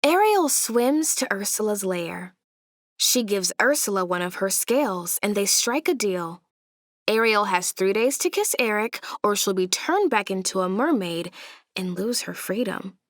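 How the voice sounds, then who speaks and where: A woman narrating a children's storybook aloud in an expressive way, with deliberate, dramatic emphasis. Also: medium pitch, no room sound, clean studio sound.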